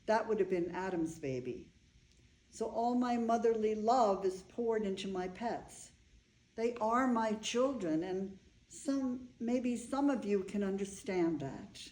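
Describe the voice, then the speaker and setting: Woman acting slow-spoken older bride, echoey room. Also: mid-range voice, expressive, a little mellow. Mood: wistful, confessional. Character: older bride, wry, frank, wistful storyteller. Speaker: actor. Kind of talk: staged play reading. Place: echoey room, live staged reading.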